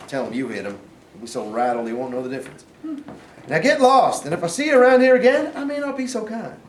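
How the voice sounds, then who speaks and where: A man in a staged play reading, playing a domineering, folksy Southern authority figure who gives gruff commands. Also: sits high, big pitch swings, quick pace. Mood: gruff, lenient. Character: blustering Southern sergeant turned governor and stern father. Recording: distant echoey room, faint noise.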